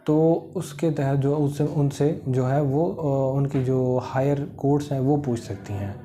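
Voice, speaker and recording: A man's voice: medium pitch, fairly even intonation, unhurried, mellow. A man with a Pakistani accent giving an informal, explanatory law lecture, mixing English legal terms into his explanations.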